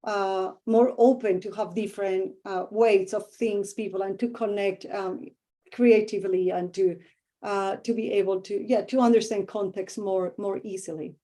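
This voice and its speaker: A woman's voice: medium pitch, steady tone, normal speed, mellow. A middle-aged woman with a Colombian Spanish accent, a professor answering interview questions thoughtfully and searching for words with many fillers.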